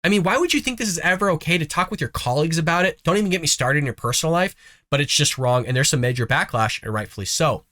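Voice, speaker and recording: A man's voice: fairly high pitch, lively intonation, quick pace, sharp tone. A man hosting a political commentary show, talking casually with folksy, sarcastic asides while congested from a cough. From United States. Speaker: host of a conservative news show.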